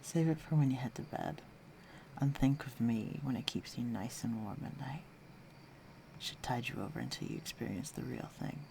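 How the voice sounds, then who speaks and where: A man acts out a self-assured fantasy villain in an intimate roleplay audio drama. His mid-pitched voice has a gravelly fry and moves at an unhurried, teasing pace, flirtatious yet protective. Faint night noise and crickets sit behind him. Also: close to an everyday voice. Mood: teasing, seductive. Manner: teasing, self-assured, unhurried, dropping into hushed near-whispers. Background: night ambience with crickets.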